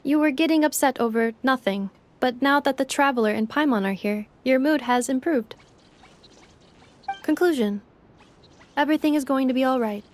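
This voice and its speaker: A woman voicing a calm, logical robot caretaker in a video game, with formal, matter-of-fact phrasing. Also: sits high, normal speed, slight background noise, close to an everyday voice. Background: music.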